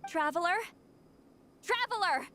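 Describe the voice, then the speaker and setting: A woman voicing a proud, brusque, youthful-sounding shaman in a video game, with a brisk and blunt way of talking. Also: extremely high pitch, lively intonation, pushed and bright, slight background noise, exaggerated voice. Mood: urgent.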